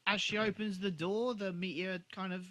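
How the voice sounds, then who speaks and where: A man's voice: sits high, steady tone, moderate pace, fairly bright tone, dry recording. A man co-hosting a podcast, chatting casually about an episode in an agreeable, conversational way. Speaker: podcast co-host. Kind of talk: casual episode review chat.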